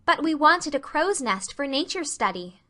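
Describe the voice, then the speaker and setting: Audiobook role: earnest romantic schoolgirl, played by a woman, effusive and dramatic, bright clear-edged tone. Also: high voice, big pitch swings, normal speed, voice pushed up, exaggerated voice. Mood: eager pleading. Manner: gushing, dramatic.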